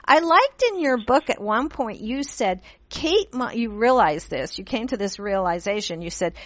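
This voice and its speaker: A woman born in Pakistan, raised in London and Montreal, hosting a radio interview with lively, laughing chat and frequent restarts. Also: a little high, normal speed, no room sound. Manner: laughs often, animated, many restarts. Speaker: radio show host. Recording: dull dry radio audio.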